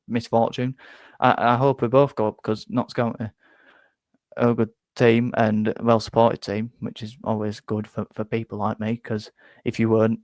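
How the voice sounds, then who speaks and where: A man's voice: medium pitch, dry recording. Rambling young Yorkshire man, dry close sound. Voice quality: bit nasal, flat. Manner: rambling, self-deprecating, stumbles and repeats words. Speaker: football fan streamer reading chat. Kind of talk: casual live-stream chat.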